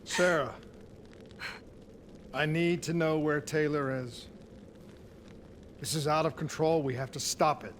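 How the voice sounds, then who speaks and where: A fairly high male voice a determined soldier in a military game drama. He presses with urgency and earnest intensity. Noticeable noise runs behind the voice. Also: fairly even intonation, unhurried, pauses often, fairly bright tone. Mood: urgent. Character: determined male soldier, searching, confrontational comrade. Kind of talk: dramatic video game acting. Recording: clearly audible background noise.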